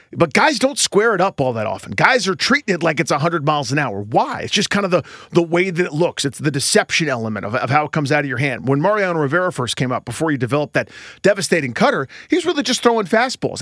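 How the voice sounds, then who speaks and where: A quick-talking man with a lively, swinging pitch works as a sports podcast co-host. He explains and banters casually about baseball. The recording is dry and close. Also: dry recording.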